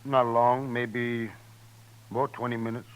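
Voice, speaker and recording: An American actor playing a plain-spoken, resentful buffalo skinner in a 1950s radio western, talking in a rustic, grudging way. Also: male voice, medium pitch, level tone, a little slow, clean tone, natural voice, low-quality recording. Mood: explaining. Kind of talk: 1950s radio drama acting.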